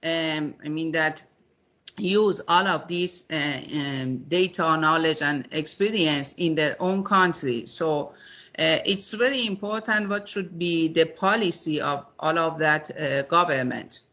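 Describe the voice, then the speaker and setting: A woman from Iran, interviewing a guest in a measured, hesitant way with many fillers. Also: deep voice, sharp tone. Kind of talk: interview conversation in English.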